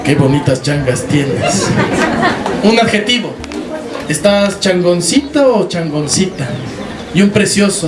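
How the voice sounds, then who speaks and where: A man from Ecuador with an Ecuadorian highland Spanish accent, giving an impassioned storytelling talk to an audience, with dramatic pauses and acted-out quotes. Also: noisy background. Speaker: speaker addressing an audience. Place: large hall with an audience. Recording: echoey hall, distant mic, loud audience.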